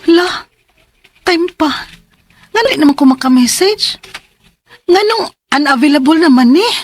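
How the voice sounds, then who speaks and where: Very high voiced young cebuano job seeker, trusting and desperate, radio drama with music behind. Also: female voice, animated, unhurried. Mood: anxious. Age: young adult. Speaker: radio drama actress and letter narrator.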